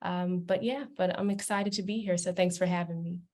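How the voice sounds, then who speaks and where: Woman from Kenya, lowish voice. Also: steady tone, fairly quick, a little mellow. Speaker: singer and songwriter guest. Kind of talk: self-introduction on a panel.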